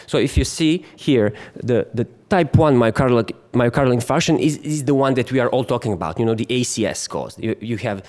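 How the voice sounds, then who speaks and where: A man's voice: mid-range voice, a little mellow. A middle-aged man with a Croatian accent, giving a conference lecture and talking in a hesitant way with many fillers and restarts. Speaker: physician lecturer. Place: conference hall.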